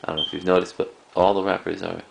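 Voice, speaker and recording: A man giving a casual, unscripted show-and-tell of a collection in a halting, rambling way. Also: deep voice, flat delivery, gravelly, poor audio. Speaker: cassette collector presenting a collection.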